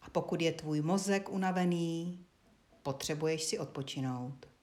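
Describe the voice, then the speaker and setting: A woman instructor guiding a body-awareness lesson, giving short spoken directions with frequent pauses. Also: low-pitched, a little mellow.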